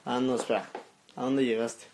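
A seventeen-year-old young man with a Mexican accent, chatting casually and haltingly as he reads out and answers comments on a live stream from his phone. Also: mid-range voice, little pitch movement, a little mellow, a little hiss or hum. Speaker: social media live streamer. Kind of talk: casual chat, reading out and answering viewer comments. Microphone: phone at arm's length.

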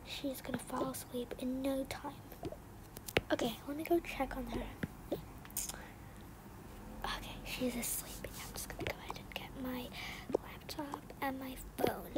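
A young female performer voicing a resentful, sneaky young girl in a game roleplay, often muttering in a breathy half-whisper with casual slang. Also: high-pitched, steady tone, a little slow, pauses often. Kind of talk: improvised game roleplay storytelling. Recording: noisy home recording with clicks.

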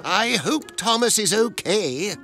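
A man's voice: high-pitched, animated, takes it slowly, over music, exaggerated voice. A man voicing an earnest, fretful male helicopter in a children's cartoon, with a fussy way of talking. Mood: concerned. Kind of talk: children's animated cartoon acting.

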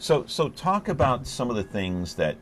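A man's voice: mid-range voice, very animated, moderate pace, soft background music. A man in his fifties hosting a local TV talk show, chatty and upbeat as he interviews a guest in the studio.

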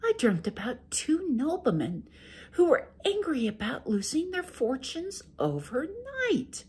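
A woman voicing a sly young wife who speaks softly and sweetly in a high voice. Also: huge pitch swings, unhurried, full character voice.

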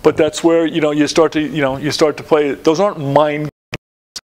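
A man's voice: a little high, flat delivery, moderate pace, darkish tone, slight background noise. An older man in his sixties giving a coaching clinic talk in a lecture room, rambling with many fillers and restarts. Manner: rambling, lots of fillers and restarts, gestures at slides. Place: dim lecture room in front of a projected slide.

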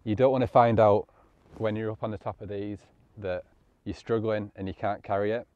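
A man's voice: lowish voice, fairly even intonation, normal speed, dry recording, narrow, tinny audio. A man, giving casual, matter-of-fact tips to camera outdoors. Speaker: landscape photographer presenting hiking tips. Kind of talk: casual instructional vlog talk to camera. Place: open grassy hillside outdoors.

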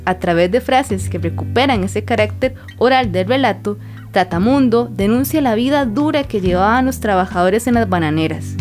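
A woman's voice: medium pitch, darkish tone. A woman giving prepared, formal literary commentary in the didactic manner of a professor. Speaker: literature professor, guest specialist. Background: music.

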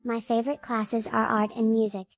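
A woman voicing a friendly schoolgirl who introduces herself, in slow, clear textbook reading. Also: fairly high pitch, level tone, lightly acted. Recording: dry, slightly dull lo-fi.

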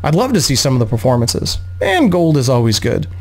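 Man as charming male bard, courteous, admiring, medium pitch, fantasy audiobook. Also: big pitch swings, brisk.

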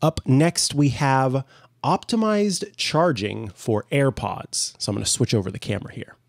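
A young man with a medium-pitched voice hosts a conversational software walkthrough. He lays out each step in turn. The sound is close and very dry, from a studio microphone in a small home office. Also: animated, unhurried, gravelly, dry recording. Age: young adult. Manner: explains step by step. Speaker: host of a tech tutorial show. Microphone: close desk studio microphone.

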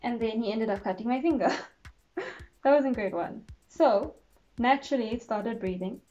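Young woman vlogger, stuffy nose, mellow voice, chatty storyteller, faint music under her. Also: fairly high pitch, expressive, normal speed, dull audio. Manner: chatty, giggly, acts out quotes. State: congested, losing her voice. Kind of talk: casual storytelling vlog. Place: bedroom, indoors.

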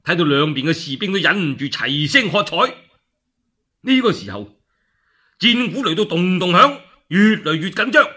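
Cantonese guy telling an old war epic, really forceful and raspy, pitch all over the place. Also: very animated, normal speed. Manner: theatrical, with exclamations and spoken sound effects. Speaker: radio storyteller. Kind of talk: dramatic one-man narration of a classical war tale.

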